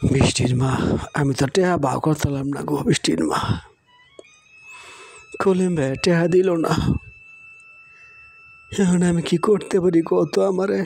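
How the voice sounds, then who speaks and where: A man's voice: on the high side, steady tone, unhurried, creaky, pauses often, a little mellow, breathy edge, soft background music, full character voice. A man playing a poor, anxious village father in a cartoon moral story, pleading plaintively and repetitively in a rural Bangladeshi Bengali accent. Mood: despairing.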